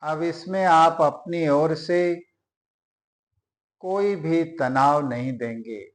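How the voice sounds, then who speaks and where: A man teaching yoga, giving guided relaxation instructions in a deliberate way with long pauses. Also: fairly high pitch.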